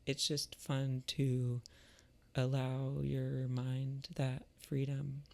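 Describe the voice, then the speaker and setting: Creaky-voiced man musing casually. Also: mid-range voice, completely flat delivery, very slow, a little mellow. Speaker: artist and musician vlogger. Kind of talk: casual reflective monologue.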